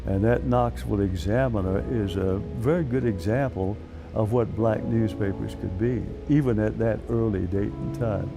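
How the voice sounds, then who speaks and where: Male interviewee, fairly low pitch, storytelling history talk, clear guitar music underneath. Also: normal speed. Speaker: documentary interviewee, history expert.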